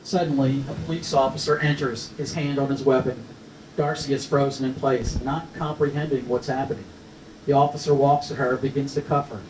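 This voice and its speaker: A man's voice: mid-range voice, flat delivery, fairly quick, a little mellow. A man reading stage directions as scripted narration at a screenplay table read. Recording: roomy, distant mic, faint noise.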